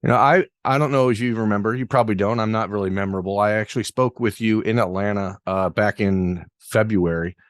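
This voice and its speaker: A man's voice: fairly low pitch, fairly quick, few pauses, no echo. Man, podcast host, talkative, self-deprecating, dry close sound. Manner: talkative, self-deprecating, long rambling questions. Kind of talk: interview podcast.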